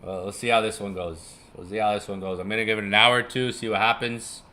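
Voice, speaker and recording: A man's voice: lowish voice, fairly even intonation, fast talker, bright, forceful tone. A young man hosting a casual live stream, rambling, chuckling and swearing casually as he repeats himself. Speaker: live-stream trading host.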